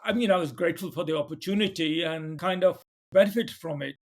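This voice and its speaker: High-voiced man, gentle podcast host. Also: fairly quick. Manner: gentle, hesitant with frequent "uh". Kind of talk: interview questions.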